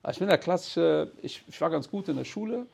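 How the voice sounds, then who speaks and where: A man's voice: a little high, expressive, quick pace, vocal fry, darkish tone, breathy edge. A man, telling stories casually and candidly in colloquial language. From Cologne, Germany. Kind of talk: casual conversational storytelling.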